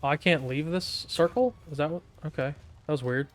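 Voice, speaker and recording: A man's voice: a little high, brisk, soft background music. A man giving casual, slangy gameplay commentary in a laid-back way. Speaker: gaming streamer.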